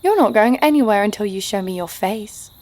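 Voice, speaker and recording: A woman's voice: medium pitch, lively intonation, fairly quick, darkish tone, close to an everyday voice. A woman playing a brash, sardonic young adventurer, blunt and sarcastic, narrating her exploits in an audio drama. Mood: wry storytelling.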